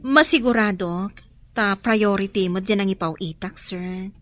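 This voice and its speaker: A woman's voice: medium pitch, measured pace, a bit bright, lightly acted. A woman playing a sweet, encouraging, deferential companion to her boss in an Ilocano radio drama.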